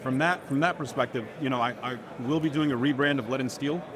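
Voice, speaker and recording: Fast-talking man in a loud crowd. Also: medium pitch. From Turkey or of Turkish family. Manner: informal sales talk with business jargon. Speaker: optics company owner at a trade show booth. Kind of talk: casual product interview. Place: trade show floor. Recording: busy crowded convention hall noise. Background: loud crowd chatter.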